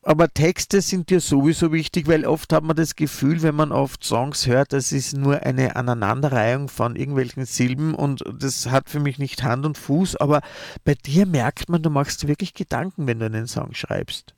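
A man's voice: mid-range voice, normal speed, creaky, darkish tone, dry recording, clean studio sound. A man interviewing a singer, full of praise for her and often stammering and restarting his questions. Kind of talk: casual interview talk.

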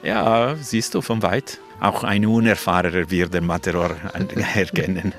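Casting: middle-aged man, deep voice, Swiss-Italian accent, non-native speaker answering interview questions informally. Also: fairly even intonation, fairly bright tone, faint music behind. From Ticino, Italian-speaking Switzerland. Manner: halting, non-native German grammar. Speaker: local hospitality host being interviewed.

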